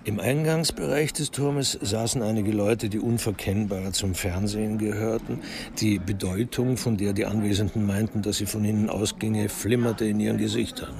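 A man narrating a crime radio drama in a measured, literary storytelling manner. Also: fairly low pitch, fairly even intonation, vocal fry, crowd noise.

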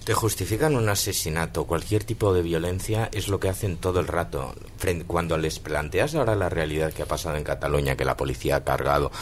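A man's voice: low voice, a little fast, few pauses, a little hiss or hum. A man, an activist guest on a radio talk discussion, speaking bluntly and heatedly.

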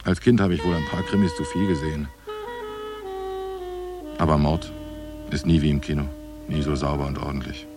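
A man's voice: a bass voice, steady tone, moderate pace, long pauses, over music. A man acting a middle-aged, seasoned, tolerant New York police detective in a radio play, reflective, plain-spoken and wry. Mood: weary, reflective. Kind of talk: radio play narration and dialogue.

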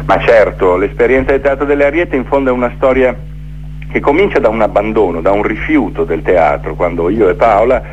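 Middle-aged male guest, lowish voice, reflective storyteller on a muffled phone-in with faint hum. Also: brisk. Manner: reflective, builds rhythmic lists. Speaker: theatre maker interviewed as guest. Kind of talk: radio interview, storytelling. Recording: dull, noisy phone-in with hum.

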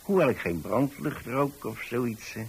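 A man's voice: mid-range voice, animated, measured pace, slightly rough, exaggerated voice, low-quality recording. An actor playing a chatty, easily flustered old pensioner who tells his story in a hesitant, rambling way, repeating words. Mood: rambling, reminiscing. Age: older, retired. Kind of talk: radio monologue storytelling. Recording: hissy old radio recording.

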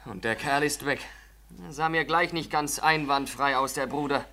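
An actor playing a colonel's snobbish, dismissive son-in-law in an old radio play, clipped and disdainful. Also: male voice, a little high, moderate pace, pushed and bright. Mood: suspicious. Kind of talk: 1940s radio drama acting. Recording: 1940s radio recording.

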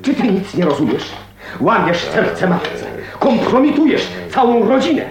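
An actor playing a stern, pompous uncle in a radio drama, hectoring and indignant about family honour. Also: male voice, sits high, big pitch swings, unhurried, creaky, reverberant, crowd noise, heavily acted. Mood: outraged. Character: stern, pompous, scandalised uncle scolding his niece. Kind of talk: Polish radio drama acting.

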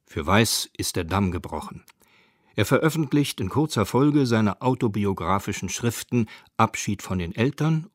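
A man's voice: fairly low pitch, no room sound, studio quality. A man reading scripted narration for a radio documentary.

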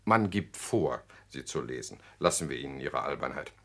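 A worldly, scheming journalist in a radio play. His voice is deep and gravelly, and his delivery is theatrical, jovial and sardonic. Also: lively intonation, normal speed, fairly bright tone, no echo, exaggerated voice. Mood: cynical, expansive. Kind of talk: radio drama acting.

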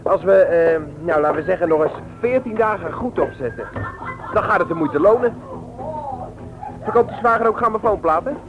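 An actor playing a brash, calculating young petty thief in a 1960s radio drama, on a dull recording. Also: male voice, sits high, animated, normal speed. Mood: cocky, calculating. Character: cocky scheming youth, leader of petty book thieves. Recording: dull old radio recording, music behind. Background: music.

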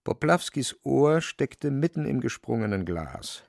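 Animated male narrator, gravelly and dry-recorded. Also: medium pitch, a little slow, dry recording. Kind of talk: dramatic literary radio play narration.

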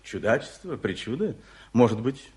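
An actor playing an efficient, discreet secretary in a radio play, measured, formal and guarded, with a slightly husky voice. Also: male voice, medium pitch, big pitch swings, a little mellow. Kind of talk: 1980s Soviet radio play acting.

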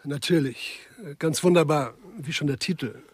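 A harried male villa administrator in a satirical radio drama, fretful and distracted, agreeing absently. His voice sits fairly high and sounds close and dry. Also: normal speed, husky, dry recording. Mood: absently placating. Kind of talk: grotesque radio crime play.

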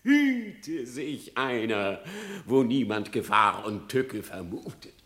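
Verse radio play: boastful trickster fox, unhurried, sharp-edged, theatrical. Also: male voice, medium pitch, big pitch swings, full character voice. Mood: feigned lament. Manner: theatrical, wheedling, self-assured. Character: sly, boastful fox, smooth-talking trickster. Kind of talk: classical verse radio drama.

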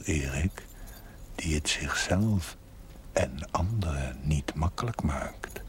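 An actor playing a solemn, mysterious, probing voice of conscience in a 1970s television drama, grave and half-whispered. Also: male voice, a bass voice, husky, heavily acted. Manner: slow, grave, knowing. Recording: noisy old television soundtrack.

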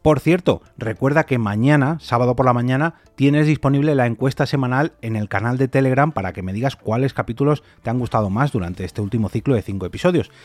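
A man's voice: mid-range voice, fast talker, hardly any pauses, a little mellow, no room sound. A man with an accent from Spain hosting a podcast in a chatty, informal, conversational way. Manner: chatty, informal, fond of diminutives. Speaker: podcast host and interviewer. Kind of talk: conversational podcast interview and sign-off.

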